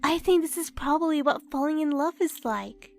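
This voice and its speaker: A woman's voice: high-pitched, moderate pace. A woman playing a shy, earnest young novelist in love in an anime-style dub, speaking in a sweet, apologetic, emotive way. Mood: remorseful, tender. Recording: music bed behind the voice.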